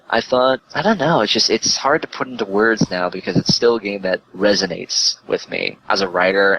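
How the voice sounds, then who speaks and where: A deep-voiced man with a bright, forceful edge talks casually as an interview guest. He rambles and stresses his points, over faint background noise. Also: poor audio. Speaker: guest, live streamer and writer.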